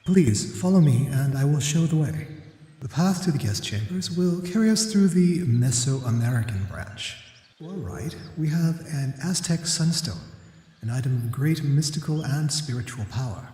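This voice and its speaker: A man playing a courteous, scholarly library keeper in an ASMR roleplay, with formal, deferential, measured delivery. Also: on the high side, animated, mellow, natural voice. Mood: welcoming, then informative. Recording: echoey hall reverb.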